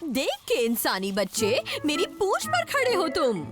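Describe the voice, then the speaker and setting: A woman's voice: high voice, wildly swooping pitch, unhurried, full character voice. A woman voicing a bossy, vain young monkey queen in a cartoon, talking with pompous, imperious airs. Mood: snappish. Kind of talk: Hindi cartoon dub acting. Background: loud music.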